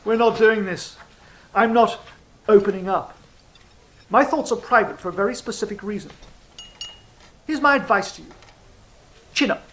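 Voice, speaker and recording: An actor playing a sly, cynical fallen angel in an audio drama, speaking in a measured, philosophical, teasing way. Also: mid-range voice, fairly bright tone, slight background noise, natural voice. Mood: worldly, dismissive. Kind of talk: theatrical audio drama dialogue and monologue.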